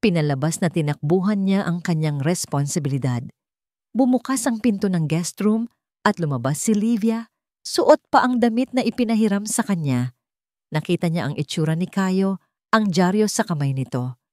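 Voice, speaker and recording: A woman narrates a scripted dramatic story in a measured delivery, pausing between phrases for effect. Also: on the low side, lively intonation, darkish tone, no room sound, studio quality.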